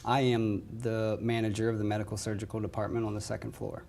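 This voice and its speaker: American guy, kinda low voice, courteous, giving interview answers as a hospital manager. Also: level tone, fast talker, gravelly, darkish tone. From West Virginia, United States. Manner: courteous, says yes ma'am.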